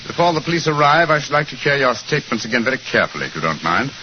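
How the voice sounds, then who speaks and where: A man's voice: mid-range voice, sing-song, racing, music in the background, lightly acted. An actor playing a shrewd, commanding male detective in a 1940s radio drama, with a clipped, precise British delivery. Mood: brisk, deductive. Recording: muffled, noisy 1940s radio recording.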